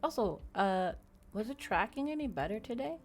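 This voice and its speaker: A woman's voice: on the high side, lively intonation, moderate pace, slight background noise. A woman hosting a livestream, chatting casually with viewers in a rambling way, often repeating and restating her phrases. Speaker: VTuber livestream host.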